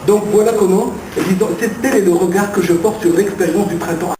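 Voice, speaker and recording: A man on an academic panel explaining his view in a measured, lecture-like way, with rhetorical questions and fillers. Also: sits high. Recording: echoey room, distant mic, background noise.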